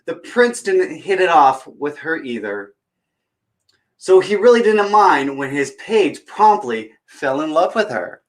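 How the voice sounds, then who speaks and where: A man hosting a children's storytime, chatting to viewers and reading aloud in an expressive, theatrical way. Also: fairly high pitch, slow pace. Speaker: children's storytime host and reader. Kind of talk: chatty introduction and animated picture-book reading aloud.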